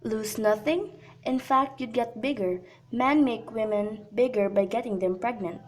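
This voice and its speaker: A woman's voice: on the high side, measured pace, close to an everyday voice. A woman in an amateur radio drama, playing a bawdy, encouraging nurse with a cheeky, urging manner.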